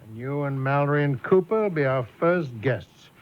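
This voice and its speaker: An actor playing a smug military officer in a radio drama, sardonic and mock-friendly. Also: male voice, medium pitch, expressive, normal speed, lightly acted. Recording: slightly muffled old radio.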